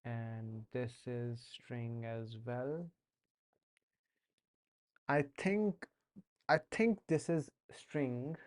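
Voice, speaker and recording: Slow, hesitant Indian man. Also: mid-range voice. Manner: hesitant, many fillers and restarts. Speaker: streamer learning to code. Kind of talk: casual live-stream chat while coding.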